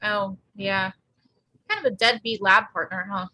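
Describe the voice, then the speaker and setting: A woman playing a wry, quick-witted teenage girl in a table read, with a deadpan, sarcastic delivery. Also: mid-range voice, dry recording, poor audio. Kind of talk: live table read acting.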